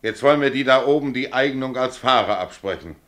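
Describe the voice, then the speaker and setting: An actor playing a stubborn, indignant tram driver in a German radio play, protesting bluntly and colloquially. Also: male voice, medium pitch, a little fast, fairly bright tone, natural voice.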